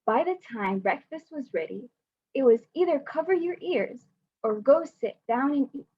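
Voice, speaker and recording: A woman's voice: sits high, animated, moderate pace. A young woman, a student volunteer, reading a children's picture book aloud over a video call, hesitant with fillers when chatting. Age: young adult. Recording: dull lo-fi video call audio.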